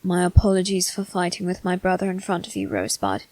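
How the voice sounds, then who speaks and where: A woman voicing a soft-spoken, politely teasing male demon servant in an ASMR roleplay, with formal manners. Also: lowish voice, very animated, fast talker, no room sound. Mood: apologetic, formal. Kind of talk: ASMR roleplay addressed to the listener.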